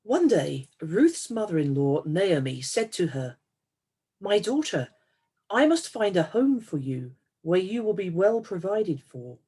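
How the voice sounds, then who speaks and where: A woman reading a Bible story aloud as measured narrative, in short, deliberate phrases. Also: fairly low pitch, big pitch swings, warm tone, slightly rough. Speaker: scripture reader.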